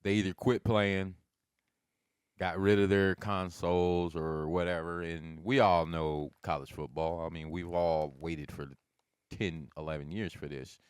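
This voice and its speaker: A man on a casual podcast, talking freely with plenty of swearing. His voice is low and smooth, and it leaps up when he gets excited. The recording is dry and close to the mic. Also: a little slow, no echo. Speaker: podcast co-host. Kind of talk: casual chat.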